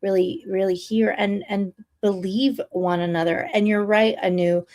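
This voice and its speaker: A woman's voice: mid-range voice, fairly even intonation, normal speed, a little mellow. A woman of about fifty hosting a reflective personal conversation, speaking earnestly and repeating phrases for emphasis. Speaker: podcast host and interviewer.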